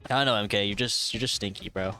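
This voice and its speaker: A man's voice: on the low side, fast talker, pushed and bright. A young man of Thai heritage chatting casually on a live stream, reading chat aloud, swearing and laughing often. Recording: faint music behind.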